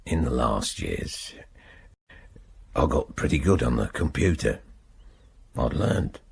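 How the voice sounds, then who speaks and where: An actor with a husky, gravelly voice playing an embittered older ex-prisoner in a radio drama, halting and rambling with blunt turns. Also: male voice, low-pitched, exaggerated voice. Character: embittered ageing ex-prisoner protesting his innocence. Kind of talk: naturalistic radio drama acting.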